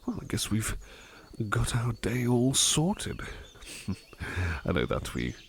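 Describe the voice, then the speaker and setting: A man playing a polite, attentive butler in an ASMR roleplay, speaking in a hesitant, courteous, gently rambling way. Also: on the low side, huge pitch swings, slow, gravelly, a little hiss or hum, heavily acted. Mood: eager, considerate.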